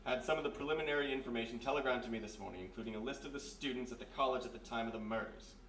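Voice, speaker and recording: A man playing a gruff, authoritative police major in a live radio mystery play. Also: mid-range voice, very fast, a bit bright, natural voice. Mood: businesslike. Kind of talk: 1940s radio mystery acting, live on stage. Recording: echoey live room, distant mic, faint noise.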